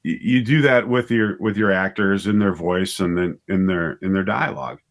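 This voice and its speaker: A man being interviewed as a writer and director, explaining things casually and haltingly, often rephrasing himself as he goes. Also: low-pitched. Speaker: interview guest, writer and director of audio dramas.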